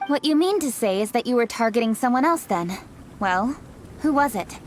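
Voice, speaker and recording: A woman's voice: a little high, animated, moderate pace, slight background noise, close to an everyday voice. A woman playing a dutiful young city official in a video game, serious, formal and businesslike. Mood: stern.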